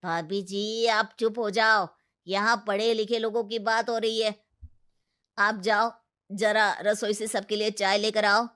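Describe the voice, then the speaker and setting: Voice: mid-range voice, level tone, unhurried, pushed and bright, clean tone. A performer voicing a village council elder in a Hindi cartoon story, earnest, blunt and authoritative. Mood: bossy.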